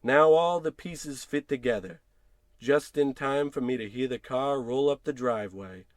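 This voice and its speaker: A man's voice: mid-range voice, normal speed, no room sound, close to an everyday voice. A man playing a paranoid, unraveling husband in old-time radio suspense style, theatrical, brooding and sardonic. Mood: seething, menacing. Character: paranoid husband unraveling over a piggy bank.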